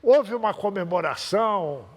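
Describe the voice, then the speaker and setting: A man hosting a TV interview, prompting his guest with many quick follow-up questions. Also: high-pitched, expressive, big-room sound. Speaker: TV interviewer, host.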